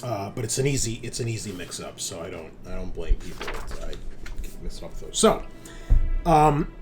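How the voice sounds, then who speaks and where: A man running a tabletop roleplaying game, narrating and explaining rules in a halting, think-aloud way. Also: medium pitch. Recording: clear background noise.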